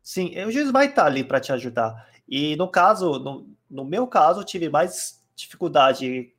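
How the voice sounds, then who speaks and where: A man chatting calmly on a podcast, explaining card game rules with many fillers. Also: fairly high pitch, unhurried. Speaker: card game player guest. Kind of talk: casual podcast chat.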